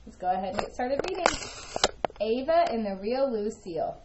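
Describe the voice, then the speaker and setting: A woman reading a children's story aloud in an expressive, animated way, acting out the dialogue. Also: a little high, moderate pace, lo-fi sound, heavy background noise. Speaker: storybook reader.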